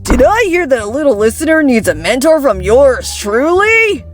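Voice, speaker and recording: A woman voicing a breezy, boastful hero mentor in an animated comic dub, showy and upbeat. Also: high-pitched, normal speed, soft background music.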